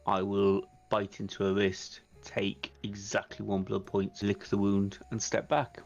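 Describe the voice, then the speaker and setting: A man's voice: on the low side, monotone, a little slow, creaky, darkish tone. A man describing his moves casually as a player in a tabletop game, on dull audio with music behind.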